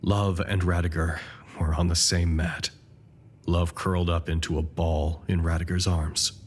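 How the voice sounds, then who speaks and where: An actor playing a weary, introspective survivor, delivering a measured, literary monologue in an audio drama. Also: male voice, low voice, slight background noise. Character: weary, reflective male survivor recording his thoughts. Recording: music under the voice. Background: music.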